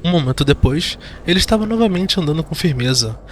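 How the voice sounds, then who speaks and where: A man's voice: a little high, lively intonation, quick pace, slight background noise. A man with a Brazilian accent giving dramatic scripted narration of a fantasy audio drama. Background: background music.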